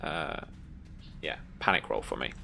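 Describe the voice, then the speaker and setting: A game master running a tabletop roleplaying game, explaining rules step by step in a relaxed, informal way. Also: extremely low pitch, unhurried, vocal fry, a little hiss or hum.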